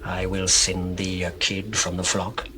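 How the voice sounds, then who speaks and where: An actor playing a stern patriarch of ancient times in a scripture drama, speaking solemnly and deliberately. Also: male voice, sits low, monotone, sharp tone, slight background noise. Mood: low, coaxing. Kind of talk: dramatized scripture reading, archaic English.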